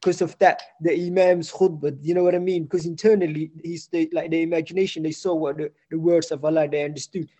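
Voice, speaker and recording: High-voiced man in chatty reflection. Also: little pitch movement, fairly quick, few pauses, warm tone. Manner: chatty, reflective, laughs often, frequent restarts; affirms constantly with short yeahs. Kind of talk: casual conversation.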